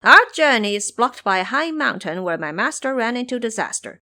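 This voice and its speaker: Woman voicing a boastful, animated monkey trickster. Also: a little high, moderate pace, pitched above the performer's own voice. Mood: commanding. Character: boastful, crafty male monkey trickster posing as master.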